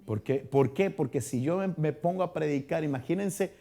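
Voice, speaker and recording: Gravelly Chilean pastor, chatty. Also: male voice, fairly high pitch, moderate pace, mellow. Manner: chatty, asks the congregation questions, frequent restarts. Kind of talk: conversational Bible study teaching. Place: church hall.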